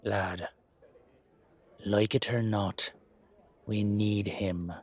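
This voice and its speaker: A man's voice: fairly low pitch, fairly even intonation, very slow, voice pushed down. A man voicing a level-headed leprechaun peacemaker with matey, colloquial speech in an audio roleplay. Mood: persuasive.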